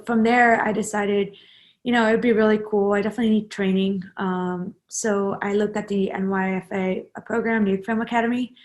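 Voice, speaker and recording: A woman's voice: mid-range voice, fairly even intonation, moderate pace. A woman in her twenties, a guest in a casual interview, talking in a rambling way with many fillers and restarts. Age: 20s.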